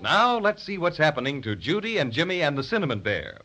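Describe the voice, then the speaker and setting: A man's voice: on the high side, big pitch swings, fairly quick, bright, forceful tone, soft background music. A man narrating a scripted 1930s children's radio serial as announcer. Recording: dull, band-limited 1930s radio recording.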